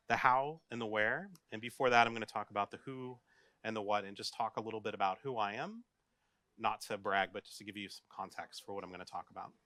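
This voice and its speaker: An American man, a software developer, giving a casual, joking conference talk and putting questions to his audience. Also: on the low side, dry recording. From United States. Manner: chatty, jokey, asks the audience questions. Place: office meeting room, live audience.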